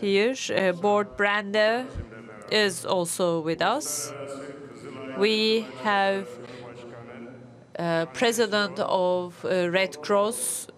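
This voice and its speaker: A woman working as a simultaneous interpreter, rendering a formal speech into English with a measured delivery. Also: mid-range voice.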